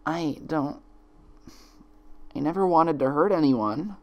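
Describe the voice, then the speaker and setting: A man's voice: fairly high pitch, slow pace, muffled recording, pitched above the performer's own voice. A man voicing a guilt-ridden young female unicorn from a pony story, faltering and pleading in despair. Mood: tearful despair.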